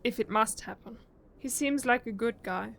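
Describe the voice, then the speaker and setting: A woman's voice: on the high side, moderate pace, vocal fry, fairly bright tone, slight background noise. A woman playing an anxious, earnest young member of a girl group in an audio drama. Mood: earnest, uneasy.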